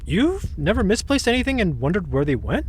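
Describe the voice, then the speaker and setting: A woman's voice: mid-range voice, sing-song, fairly quick, slight background noise, natural voice. A woman playing an easygoing, slightly sheepish young woman in an audio drama, explaining spirit lore in a matter-of-fact, teasing way.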